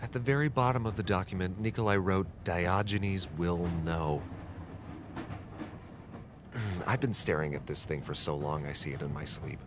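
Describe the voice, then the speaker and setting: A man's voice: fairly low pitch, noisy background, close to an everyday voice. A man with an American accent playing an earnest, frustrated 1960s reporter who narrates a brooding audio diary in a radio drama. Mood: brooding, discouraged. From United States.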